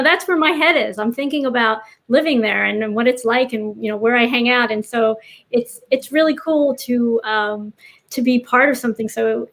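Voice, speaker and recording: A woman's voice: on the high side, fairly bright tone. A woman writer chatting as a podcast guest, earnest and rambling as she thinks aloud. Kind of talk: casual interview chat.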